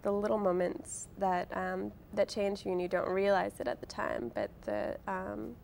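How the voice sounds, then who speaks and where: Wry young woman with creaky voice. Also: mid-range voice, moderate pace, a little mellow, slight background noise. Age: late 20s. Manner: wry, self-deprecating, chatty with frequent "like". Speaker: author and blogger guest. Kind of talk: casual interview conversation.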